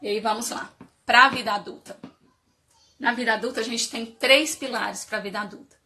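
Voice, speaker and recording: A woman's voice: a little high, fairly even intonation, normal speed, sharp tone. A woman with a cold and a nasal voice, giving an informal motivational talk and asking her audience many rhetorical questions. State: has a cold. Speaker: speaker giving a live talk on life stages.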